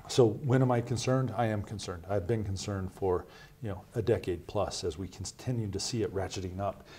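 A man in his fifties from Wyoming speaks on a lapel mic in a small TV studio, his voice low and mellow. He makes his points in an earnest, measured way and leans on emphatic asides. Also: husky. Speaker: Senate candidate. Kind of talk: studio interview answers.

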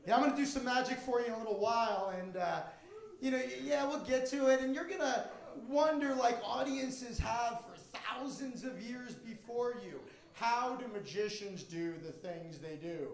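Stand-up comic, male, middle-aged, American, very high-pitched, roomy club sound with audience. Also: moderate pace, gravelly. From Miami, Florida, United States. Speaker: stand-up comedian and magician. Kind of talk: stand-up comedy routine. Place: indoor comedy club stage. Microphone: handheld microphone. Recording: echoey comedy club with audience. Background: live audience.

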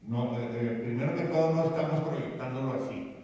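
Older mexican guy organizing an event, soft mellow voice, talking in a really echoey room. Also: mid-range voice, a little fast. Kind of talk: press conference answers. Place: indoor hall, panel table. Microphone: handheld microphone, far camera. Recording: echoey hall from far off, background noise.

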